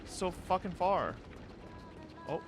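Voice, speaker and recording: A young man streaming a game, reacting with loud, profane, joking running commentary over game music. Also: sits high, expressive, slow, background noise. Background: game music and dialogue.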